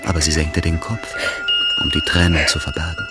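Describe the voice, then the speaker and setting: An actor narrating a literary love story in a radio play, in a measured, intimate manner. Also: male voice, deep voice, wildly swooping pitch. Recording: music behind the voice. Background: loud music.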